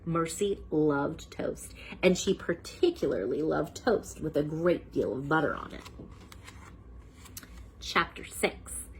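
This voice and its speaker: A woman storyteller reading a children's book aloud in an animated style. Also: low voice, takes it slowly, a little hiss or hum, low-quality recording.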